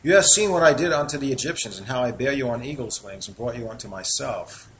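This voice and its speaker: A man's voice: mid-range voice, lively intonation, fast talker, fairly bright tone, a little hiss or hum, low-quality recording. New York man teaching scripture casually. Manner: reads scripture aloud then comments conversationally. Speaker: Bible teacher. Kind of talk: informal Bible teaching.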